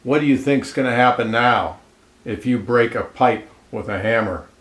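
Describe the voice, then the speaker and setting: A man reading a children's picture book aloud expressively, pausing to comment and ask listeners questions, in an echoey room. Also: medium pitch, a bit bright. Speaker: storybook reader. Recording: roomy, echoey indoor sound.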